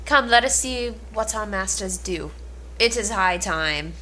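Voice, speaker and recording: A woman's voice: medium pitch, animated, measured pace, sharp tone, a little hiss or hum, poor audio. A woman playing a sly, teasing young page boy in an amateur reading of an old stage play.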